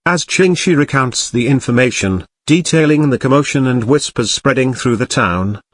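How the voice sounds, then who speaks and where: A man's voice: mid-range voice, lively intonation, moderate pace, fairly bright tone. A man giving scripted, dramatic narration for an audio drama in a solemn storyteller's manner.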